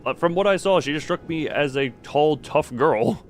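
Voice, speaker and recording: A man livestreaming a game, chatting casually in a halting, rambling way as he thinks aloud. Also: a little high, expressive. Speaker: livestream host. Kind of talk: casual chat while playing a horror game live. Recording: clear background noise.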